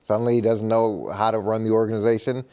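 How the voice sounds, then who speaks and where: A man arguing a point as a coach on a sports panel, halting and repeating words as he goes. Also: lowish voice, little pitch movement, dark tone, muffled recording. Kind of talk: unscripted sports debate.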